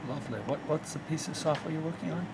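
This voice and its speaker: A man's voice: on the high side, fast talker. A man interviewing people in a casual, curious way, asking questions with chatty asides. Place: busy studio workspace. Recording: crowd chatter and room noise behind.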